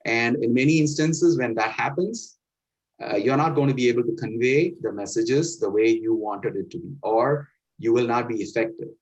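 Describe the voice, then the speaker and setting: A man's voice: medium pitch, normal speed, breathy edge. A middle-aged man from India with an Indian accent, giving earnest career advice and often checking in with "right?". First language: Indian language. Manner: earnest, instructive, peppers points with "right?". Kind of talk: motivational talk. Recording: dull lo-fi sound.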